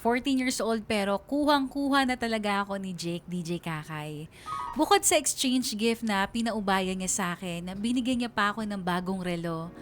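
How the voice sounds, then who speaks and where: A woman's voice: medium pitch, moderate pace, natural voice. A woman playing a young woman narrating her own love story in a confiding radio drama style. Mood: giddy. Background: music under the voice.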